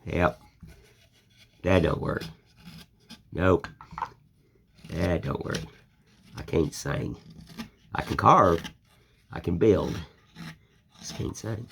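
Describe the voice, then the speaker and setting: A man's voice: sits low, expressive, drawn-out words, creaky, pauses often, a bit bright, slight background noise. An older American man with a folksy, plainspoken way of talking, rambling in a casual chat while he shows his woodcarving. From United States. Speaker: woodcarving channel host.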